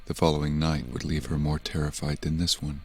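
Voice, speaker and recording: An actor playing a grave, ominous narrator of a horror audio drama, reading in a measured way. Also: male voice, extremely low pitch, completely flat delivery. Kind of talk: scripted narration.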